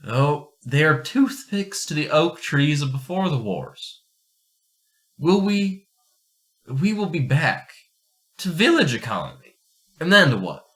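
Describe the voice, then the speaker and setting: A man's voice: a little high, very animated, takes it slowly, pauses often, a bit bright, heavily acted. A man playing an earnest, strict astronomer in an amateur radio play, speaking formally and emphatically. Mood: grave, concerned. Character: earnest, agitated astronomer pleading for change, fatherly and strict.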